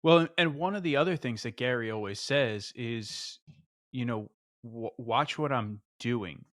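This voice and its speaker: A man's voice: medium pitch, a little slow, fairly bright tone, no room sound, clean studio sound. A man hosting a podcast, talking in a hesitant, enthusiastic way and often repeating words. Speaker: podcast host. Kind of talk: casual interview conversation.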